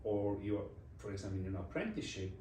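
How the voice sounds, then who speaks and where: A man's voice: on the low side, lively intonation, fast talker, mellow, far from the mic, a little hiss or hum. Man, Swiss German accent, forties, reflective interview guest, roomy echo, a bit off-mic. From Switzerland. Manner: reflective, non-native phrasing with restarts. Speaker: interview guest, design executive. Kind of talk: casual interview conversation.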